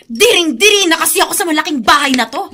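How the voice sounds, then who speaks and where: Radio soap jealous mansion villainess, high-pitched, swooping, sneering delivery. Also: female voice, lively intonation, fast, full character voice. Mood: scornful, threatening. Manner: sneering, sharp-tongued. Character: haughty, jealous, scornful rich young woman. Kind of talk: Filipino radio soap acting.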